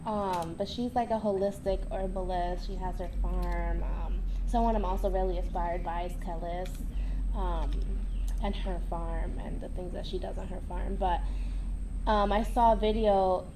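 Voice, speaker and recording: A woman's voice: fairly low pitch, fairly even intonation, moderate pace, background noise. A young woman from New York, a farm co-founder, chatting casually on a podcast with lots of fillers and hesitations.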